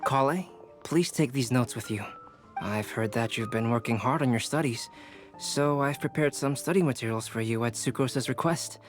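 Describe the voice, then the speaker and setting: A man's voice: mid-range voice, moderate pace, faint music behind, close to an everyday voice. A man voicing a courteous, helpful scholar in a video game, speaking politely and formally. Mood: kindly.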